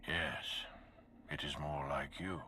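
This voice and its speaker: A man's voice: a bass voice, little pitch movement, takes it slowly, bright, forceful tone, a little hiss or hum, heavily acted. An actor playing an imposing male Sith lord who speaks in cold, clipped commands. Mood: stern.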